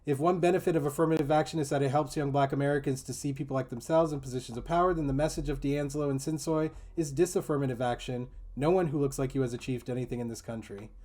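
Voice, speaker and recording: A man's voice: mid-range voice, fast, hardly any pauses, a little mellow. A man hosting a discussion, reading an article aloud and adding mocking, incredulous commentary.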